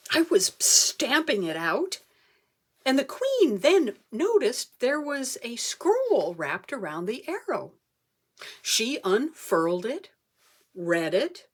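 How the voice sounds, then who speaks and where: High-pitched woman, animated, unhurried storyteller. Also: breathy edge. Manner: theatrical, with dramatic pauses and emphasis. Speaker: club speaker telling a humorous tall tale. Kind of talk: performed comic storytelling speech.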